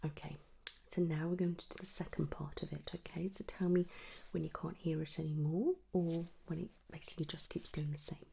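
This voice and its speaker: A woman speaking in a breathy, gentle whisper, softly instructing a patient as an audiologist in an ASMR medical roleplay. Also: low-pitched, flat delivery, a little fast, hardly any pauses. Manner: gentle, soothing, reassuring. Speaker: ASMR performer playing an audiologist.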